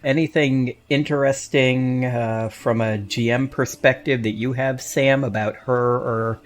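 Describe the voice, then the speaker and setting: A man's voice: medium pitch, fairly even intonation, unhurried. A man hosting a casual panel chat about a tabletop game he plays in. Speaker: podcast host and player in a tabletop game.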